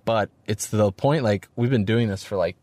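A man's voice: fairly low pitch, moderate pace, mellow, dry recording. Tired, rambling male podcast host. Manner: rambling, self-deprecating, frequent "like" fillers. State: tired from lack of sleep. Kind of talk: casual solo podcast monologue.

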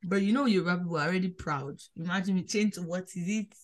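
A young woman from Nigeria co-hosting a podcast, talking animatedly in a casual chat, with quick swings in pitch and loudness. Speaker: podcast co-host.

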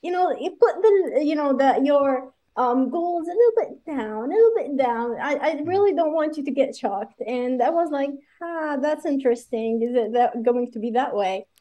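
A woman from Iraq speaks as a guest in an interview, fairly high-pitched yet with a mellow, darker tone. She talks in an animated way, full of fillers and quick asides. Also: lo-fi sound. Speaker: guest, pharmacist running licensure courses. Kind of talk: conversational interview.

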